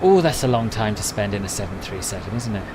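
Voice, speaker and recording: Man, relaxed conversational live-stream talk, answering chat, steady background noise. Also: fairly low pitch, fast talker. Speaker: flight sim streamer.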